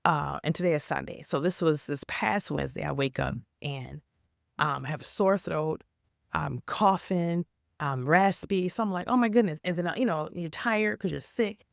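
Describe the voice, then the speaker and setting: A woman with a raspy, hoarse voice from a cold, talking casually about faith and pushing through hard times. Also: low voice, moderate pace, no room sound, clean studio sound. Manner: rambling, stops and restarts, then earnest exhortation. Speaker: faith vlogger. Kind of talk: casual faith talk to camera.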